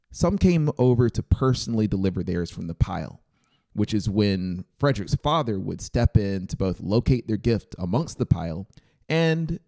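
A man's voice: lowish voice, vocal fry, mellow. A man in his thirties giving a scripted story narration close to a studio microphone. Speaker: audiobook narrator. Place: small brick-walled room indoors. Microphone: close studio microphone on boom arm.

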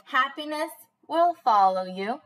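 A woman's voice: high-pitched, lively intonation, a little slow, fairly bright tone. A young woman preschool teacher doing a read-aloud for small children in a bubbly, sing-song manner, recorded on a webcam in a small room. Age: young adult. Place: small panelled indoor room.

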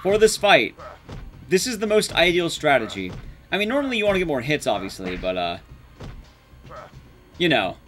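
Young male game streamer, higher register, lively swings in pitch, casual self-mocking live commentary with some swearing. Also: moderate pace, long pauses. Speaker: speedrun streamer. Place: small indoor streaming room. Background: game music.

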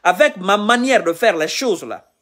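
A man's voice: high voice, animated, normal speed, fairly bright tone. A man in his thirties from Guinea, ranting heatedly in a selfie live video, lecturing and repeating his points, filmed on a phone held at arm's length in a small room. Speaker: political commentator. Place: indoors, small room, on a sofa.

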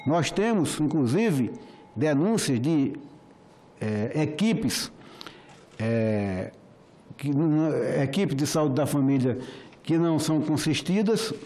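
A man testifies at a committee hearing in an earnest way, often restarting his sentences. His voice is fairly high for a man and mellow in tone. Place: senate committee room.

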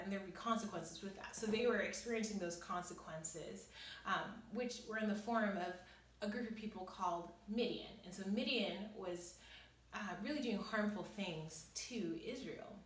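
Young lady explaining scripture, chatty but earnest, pauses for emphasis, roomy echo, mic kinda far. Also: medium pitch, fairly bright tone. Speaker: devotional teacher and host. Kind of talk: informal Bible teaching, reading and explaining scripture. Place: small bare indoor room, seated. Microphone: camera a few metres away. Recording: echoey room, distant microphone.

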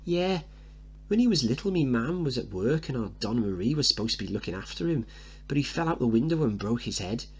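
A man plays a working-class little boy in a dramatic play reading. He is boastful, suspicious and blunt, full of slang. The voice is pushed up high and swings about, with a husky edge. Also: big pitch swings, fairly quick, darkish tone. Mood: cheeky, boastful. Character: scruffy seven-year-old working-class boy, cheeky, boastful, suspicious.

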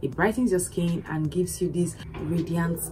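A young woman talks to camera in a casual skincare vlog, her voice a little hoarse from a recent loss of voice. She sits fairly low in pitch and chats animatedly, repeating lines for emphasis. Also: moderate pace. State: hoarse, recovering lost voice. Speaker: beauty vlogger. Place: indoors against plain orange backdrop.

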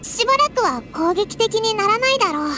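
Squeaky, very high fairy child. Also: female voice, animated, brisk, sharp tone, exaggerated voice. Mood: concerned. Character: tiny childlike fairy companion, brash and excitable. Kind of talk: Japanese anime game voice acting. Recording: music behind the voice.

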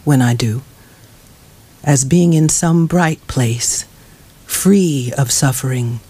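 Slow, mournful man reading aloud. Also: medium pitch, warm tone, breathy edge. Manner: slow, halting, mournful delivery in short phrases. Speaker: author reading from his novel. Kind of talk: dramatic literary reading. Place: small crowded bookshop, indoors. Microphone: microphone on a stand.

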